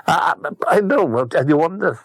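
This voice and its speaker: A man's voice: a little high, expressive, brisk, dry recording. A man hosting a comedy phone-in who talks hesitantly and politely, with dry asides. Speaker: radio phone-in host.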